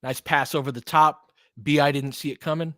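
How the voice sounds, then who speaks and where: A man's voice: on the high side, fairly even intonation, normal speed, fairly bright tone, no room sound, clean studio sound. A man giving informal, partisan live basketball commentary as a host, reacting openly to the plays like a fan.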